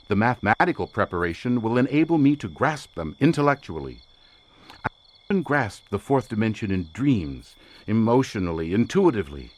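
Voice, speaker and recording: A man's voice: medium pitch, close to an everyday voice. A man acting an obsessed occult researcher, swooping delivery. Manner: grandiloquent, formal, theatrical. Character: obsessed, intense male occult researcher, imperious and fervent. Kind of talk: dramatic audio drama acting.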